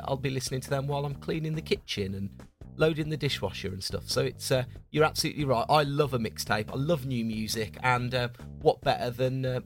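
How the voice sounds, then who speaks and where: Chatty man co-hosting a podcast. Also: mid-range voice. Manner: chatty, jokey, teasing. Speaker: podcast co-host. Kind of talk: casual podcast chat.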